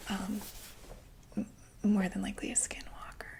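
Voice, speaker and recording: A woman playing a reassuring female doctor for monsters in a whispered ASMR roleplay, explaining gently and hesitantly in a breathy voice. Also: mid-range voice, monotone, normal speed, natural voice. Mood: explaining calmly.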